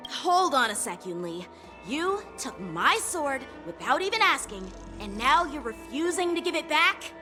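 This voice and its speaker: A woman's voice: sits high, very animated, over music. A woman playing an earnest, hot-headed teenage boy swordsman who speaks formally and gets indignant, in a game cutscene. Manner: indignant, quick to anger, formal.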